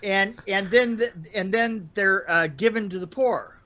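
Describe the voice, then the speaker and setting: An older man telling anecdotes casually in a rambling, halting way, chuckling as he goes. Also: sits high, pushed and bright. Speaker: podcast storyteller guest. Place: indoor room on a video call.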